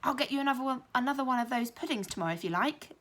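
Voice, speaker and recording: A chorus of eager young schoolchildren in a storybook reading, high-pitched and loud, as a woman teacher voices them. They speak with quick, eager excitement. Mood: excited.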